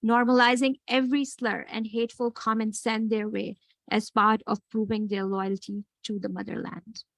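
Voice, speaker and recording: Woman with a gravelly, higher voice. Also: flat delivery, no echo, poor audio. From Karachi, Pakistan. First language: Urdu. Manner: earnest, reflective, frequent pauses and restarts. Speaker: author and guest speaker. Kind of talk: personal talk with readings from her memoir.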